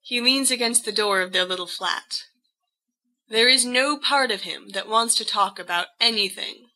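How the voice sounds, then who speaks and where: Woman reading an audiobook, really bright crisp edge, higher pitched, expressive storytelling. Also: moderate pace, rough recording. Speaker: audiobook narrator. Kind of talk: expressive scripted story narration.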